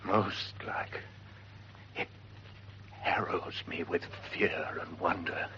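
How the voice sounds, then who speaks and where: An actor playing a grave, scholarly gentleman in 1940s British radio Shakespeare, speaking formal, declamatory verse. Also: low voice, steady tone. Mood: awed, shaken. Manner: formal, declamatory, measured delivery. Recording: muffled, noisy 1940s radio recording.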